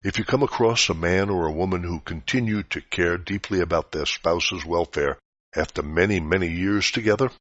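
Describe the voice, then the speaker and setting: A man reading a scripted spiritual teaching aloud in a measured, didactic way. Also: low voice, fairly bright tone. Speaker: reader of spiritual articles. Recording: dull, roomy lo-fi recording.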